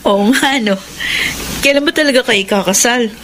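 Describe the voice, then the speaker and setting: A woman's voice: fairly high pitch, normal speed, a bit bright, close to an everyday voice. An actress with a Filipino accent playing a chatty young woman, a teasing and eager friend, in a radio drama. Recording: clear ambient noise behind.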